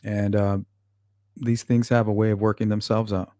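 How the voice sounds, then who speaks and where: A man's voice: on the low side, little pitch movement, moderate pace, warm tone, no echo, clean studio sound. Blunt, profane male livestream host. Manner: blunt and profane, talks back to chat. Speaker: channel host reading live chat. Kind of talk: casual livestream chat.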